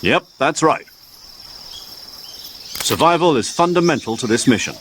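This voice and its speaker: An actor playing an older military commander in a video game, calm and authoritative, giving measured, precise instructions. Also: male voice, a little high, noisy background. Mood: instructive, firm. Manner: measured, precise briefing delivery. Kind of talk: scripted video game voice acting.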